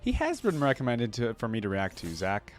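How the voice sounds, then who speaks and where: A man's voice: mid-range voice, huge pitch swings, a little fast, soft background music. A man giving casual reaction commentary, musing and thinking aloud as he goes. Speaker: reaction video creator.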